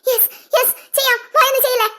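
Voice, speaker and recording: A woman's voice: extremely high pitch, flat delivery, quick pace, pushed and bright. A woman voicing a tense, urgent policewoman negotiating in an amateur cartoon action drama. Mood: alarmed, commanding. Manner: urgent, commanding.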